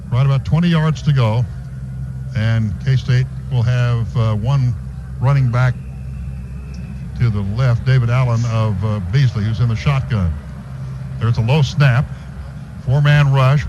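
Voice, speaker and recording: Male radio sportscaster, live commentary, muffled lo-fi broadcast, loud background noise. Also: medium pitch. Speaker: radio play-by-play announcer. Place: stadium radio booth. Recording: noisy dull radio broadcast from stadium.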